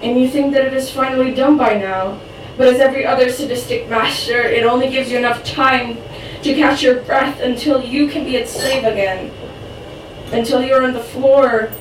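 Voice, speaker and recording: A young Croatian woman, a non-native English speaker, reads her own poem aloud with an earnest, rushing intensity. Her voice sits high. The mic is some distance from her in an echoey room, with a faint hiss underneath. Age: young adult. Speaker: poet at open mic. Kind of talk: spoken word poetry reading.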